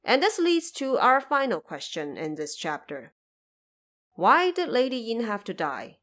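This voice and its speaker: A woman's voice: a little high, very animated, measured pace, fairly bright tone. A woman hosting a podcast in scripted explanatory narration, articulate and pointedly emphatic. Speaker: podcast host.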